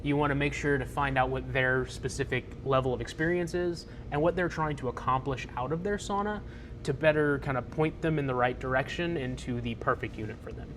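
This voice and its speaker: A man's voice: mid-range voice, a little fast, fairly bright tone, a little hiss or hum. A man co-hosting a casual chat, explaining how he guides customers and now and then voicing what a customer might say. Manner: explanatory, acts out customer quotes. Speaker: sauna salesman co-host. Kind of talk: casual sales advice chat.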